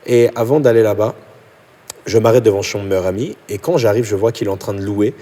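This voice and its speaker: A young man from Portugal, a pastor, telling his conversion story in a podcast chat, animated and then hushed at intimate moments. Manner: fast, animated storytelling, drops low and soft at intimate moments. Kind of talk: personal testimony in a podcast chat.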